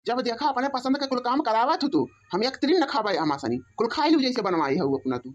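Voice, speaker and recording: A woman's voice: fairly high pitch, big pitch swings, fairly quick, highs cut off. A woman voicing an arrogant, tyrannical spoiled younger brother-in-law with an Awadhi accent, scolding haughtily in a cartoon comedy. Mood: accusing.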